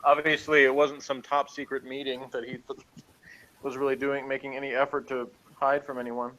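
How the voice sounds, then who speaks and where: A man's voice: medium pitch, level tone, normal speed, a bit bright, rough recording. A man chatting casually and offhandedly as a co-host of a review show, in a dry manner. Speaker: podcast co-host reviewing a TV episode. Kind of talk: casual chat.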